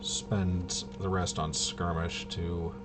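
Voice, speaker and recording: A man's voice: deep voice, level tone, takes it slowly, over loud music. A man giving casual gameplay commentary, thinking aloud and muttering to himself in a puzzled, self-questioning way. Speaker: player running a tabletop game demo. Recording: clearly noisy background.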